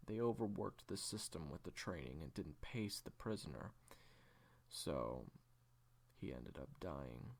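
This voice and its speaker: A man playing a weary, secretive assassin in an audio drama, telling a dark past haltingly in a gravelly voice that slips toward a whisper. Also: sits low, heavily acted. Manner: halting, deliberate, with heavy pauses. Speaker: audio roleplay voice actor. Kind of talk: hushed confessional storytelling in audio drama.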